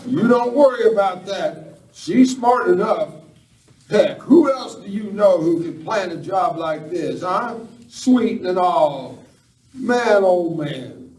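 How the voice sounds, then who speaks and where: A man's voice: high voice, lively intonation, slow pace, a little mellow. A man playing a seasoned Western outlaw in a radio play, folksy and admonishing toward a younger hand. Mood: dismissive. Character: seasoned male outlaw hand, gruffly advising the kid. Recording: echoey hall, distant mic, faint noise.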